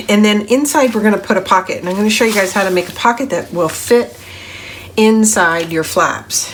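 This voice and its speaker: A middle-aged woman, a little gravelly from grogginess, hosting a papercraft tutorial in a chatty, step-by-step way. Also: medium pitch, a little hiss or hum. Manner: chatty, step-by-step, self-correcting. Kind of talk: crafting tutorial voice-over.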